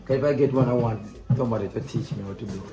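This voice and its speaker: A man's voice: mid-range voice, very fast. A Jamaican man, a pastor, chatting casually, with many ums and "you understand?" tag questions. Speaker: pastor, host. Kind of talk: casual conversation. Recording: echoey, off-mic with music behind.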